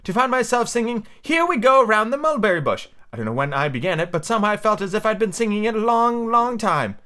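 A man plays a well-mannered storybook girl. The voice is raised high and lilting, hesitant and politely questioning. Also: sing-song, fast talker, pushed and bright, heavily acted. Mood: wondering. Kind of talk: storybook character voice.

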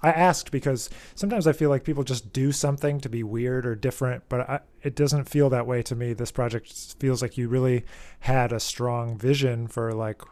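A man hosting an interview podcast, asking questions in a relaxed, friendly, loose way. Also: medium pitch, normal speed, few pauses, no room sound. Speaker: podcast host. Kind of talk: casual interview chat.

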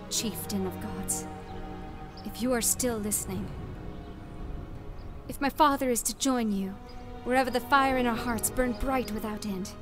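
A woman playing a grieving young barmaid in a fantasy audio drama, praying slowly and reverently. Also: fairly high pitch, lightly acted. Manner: solemn, slow, reverent. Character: grieving human daughter praying solemnly for her father. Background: music.

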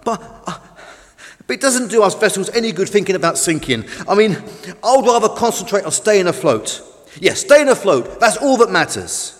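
A man's voice: high voice, very animated, moderate pace, voice pushed up. A man voicing a friendly, earnest tugboat in a children's story, encouraging and a little nervous, in a reverberant hall. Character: friendly, cheerful, slightly nervous male tugboat.

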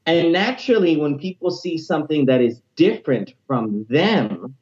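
A man in his late twenties with a high tenor voice, telling his personal story as an interview guest in an animated, energetic way. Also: moderate pace, lo-fi sound. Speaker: interview guest, teacher and music minister.

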